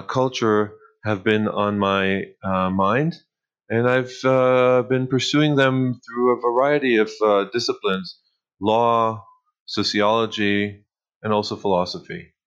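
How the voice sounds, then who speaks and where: A middle-aged man with a faint Israeli accent speaks in a somewhat low voice, pausing and weighing his words. The line sounds muffled and lo-fi. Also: a bit bright. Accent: light Israeli. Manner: thoughtful, hesitant with pauses. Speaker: guest scholar. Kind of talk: interview answers.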